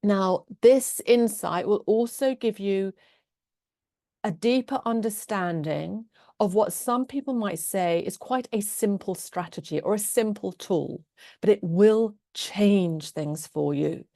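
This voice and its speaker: A woman with a British accent, Norwegian her first language, teaching a live online love-coaching class in an earnest, gentle way. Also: medium pitch, slow, vocal fry, dry recording, studio quality. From England.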